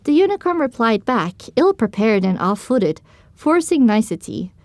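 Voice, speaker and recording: A woman with a somewhat high voice delivers scripted first-person narration for an audio drama. The sound is dry and close, with no room around it. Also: sing-song, moderate pace, darkish tone, dry recording.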